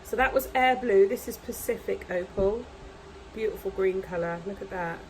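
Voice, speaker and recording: A woman talks through an unboxing in a casual, gushing way, sniffling now and then from hay fever. Her voice sits at an ordinary pitch for a woman. A faint hiss of background noise runs under the recording. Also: normal speed, a little mellow, poor audio. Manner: chatty, gushing over products. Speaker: nail vlogger. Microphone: camera mic, voice off camera.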